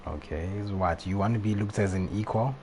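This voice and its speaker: A man's voice: low voice, a little fast. A man doing casual live game commentary, thinking aloud as he reacts to the story. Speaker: game livestreamer. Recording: faint noise and game ambience behind. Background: video game audio.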